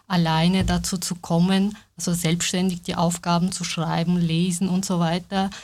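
A woman's voice: fairly low pitch, darkish tone. A young adult woman, a non-native speaker of German with a foreign accent, giving a personal account as a guest. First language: not German. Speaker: panel guest.

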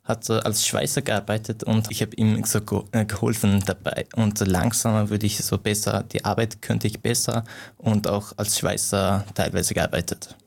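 A man's voice: on the low side, flat delivery, moderate pace, creaky. A young man who grew up in Iran, speaking German as an in an interview, hesitant and full of fillers and restarts. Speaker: guest, martial arts coach.

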